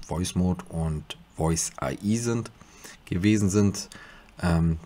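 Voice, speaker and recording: A man narrating a casual software tutorial, explaining step by step in an informal, slightly hesitant way. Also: low voice, husky. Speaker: YouTube tutorial host.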